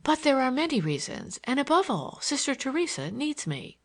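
A woman reading a storybook part as a poised young woman, gracious and gently pleading. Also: high-pitched, sing-song, moderate pace, voice pushed up. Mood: regretful pleading.

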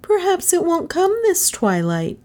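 A woman's voice: very high-pitched, sing-song, measured pace, darkish tone, voice pushed up, exaggerated voice. A woman voicing an anxious, loyal little girl with an earnest, pleading way of talking in a storybook. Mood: hopeful.

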